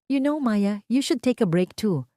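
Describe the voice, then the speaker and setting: A woman's voice: fairly high pitch, animated, measured pace, mellow, no room sound, clean studio sound, pitched above the performer's own voice. A woman voicing a complacent, belittling husband in an audio drama, casual and condescending, mixing in English. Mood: breezy, dismissive.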